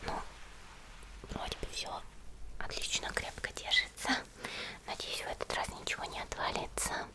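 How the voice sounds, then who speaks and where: A woman playing a friendly, attentive monster girl in a whispered ASMR roleplay, speaking gently and unhurriedly in a breathy murmur to the listener. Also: moderate pace, long pauses, close to an everyday voice. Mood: caring, reassuring.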